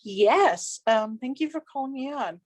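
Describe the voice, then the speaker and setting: A woman's voice: high-pitched, animated, measured pace, no room sound. A woman on a video call, explaining an idea eagerly with many fillers and restarts. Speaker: event participant. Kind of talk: casual virtual panel remarks.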